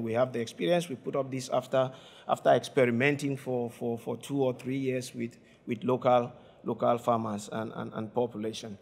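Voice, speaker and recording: A man giving a conference presentation in a halting way, with many fillers and repeated words. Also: medium pitch, vocal fry. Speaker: conference speaker. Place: conference hall.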